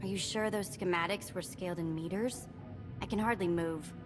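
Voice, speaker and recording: An actress playing a brash, irreverent thief and safecracker in a video game cutscene, quipping sarcastically in informal speech. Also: female voice, fairly low pitch, steady tone, normal speed, music in the background, lightly acted. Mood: grumbling banter.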